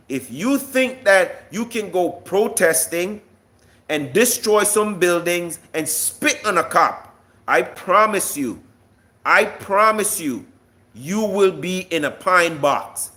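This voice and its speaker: A man's voice: sits high, expressive, slow, sharp tone. A man delivering an impassioned, combative political monologue to online viewers, emphatic and full of rhetorical questions. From Jamaica. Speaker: live-stream political commentator.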